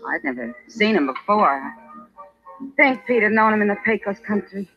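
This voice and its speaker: A woman's voice: mid-range voice, lively intonation, normal speed, soft background music, lightly acted. An American actress playing a worried, tender frontier saloon woman in an old radio western, earnest and intimate. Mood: uneasy. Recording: muffled old radio recording.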